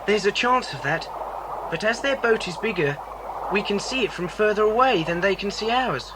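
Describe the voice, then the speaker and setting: Performer as sensible boy, middling pitch, matter-of-fact, questioning, audio drama, slight tape hiss. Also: expressive, normal speed, lightly acted. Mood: cautious. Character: Philip, sensible practical boy, one of the children. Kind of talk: children's audiobook drama acting. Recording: faint hiss, old cassette.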